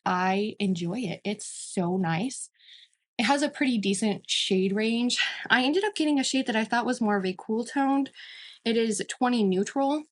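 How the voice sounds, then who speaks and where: A woman in her mid-thirties giving a casual makeup review as a beauty vlogger, chatty and emphatic, often repeating words for emphasis. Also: medium pitch.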